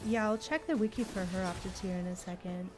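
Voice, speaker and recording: A woman's voice: fairly low pitch, a little fast, over music. A woman chatting casually as she streams a game, with a playful, exclaiming way of talking to viewers. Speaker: livestream host. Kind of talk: casual gameplay livestream chat.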